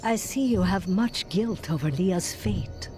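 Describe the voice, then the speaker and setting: A woman's voice: medium pitch, expressive, unhurried, over music, close to an everyday voice. A woman playing a solemn, determined seer in a video game cutscene. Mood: insistent, knowing.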